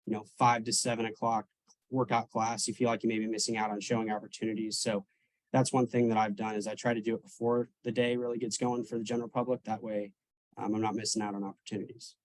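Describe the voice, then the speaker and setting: A man in his twenties, a real estate agent, answering interview questions over a video call, relaxed, with frequent ums and restarts. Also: fairly low pitch, fast talker, no room sound, poor audio. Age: 20s.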